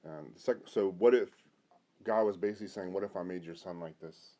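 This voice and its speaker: A middle-aged man, a pastor, hosting an informal conversational interview in a halting way. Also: sits low, warm tone. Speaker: host, pastor.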